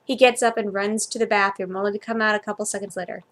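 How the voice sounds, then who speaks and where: A woman's voice: mid-range voice, fairly even intonation, quick pace, fairly bright tone. Informal woman reading a story aloud. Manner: informal, stumbles and comments on own slips. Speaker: amateur fan fiction reader. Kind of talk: story reading with character dialogue.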